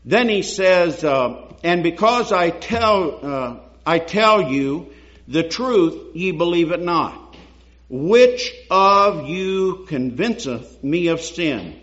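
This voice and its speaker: A man's voice: on the high side, lively intonation, slow, fairly bright tone, muffled recording. A man preaching a sermon, reading scripture aloud and building emphasis on key phrases. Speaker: pastor.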